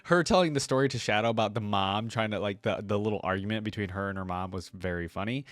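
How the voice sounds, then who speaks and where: A man's voice: lowish voice, quick pace, a bit bright, dry recording. A man giving casual reaction commentary as a video host, rambling conversationally and often checking in with the listener.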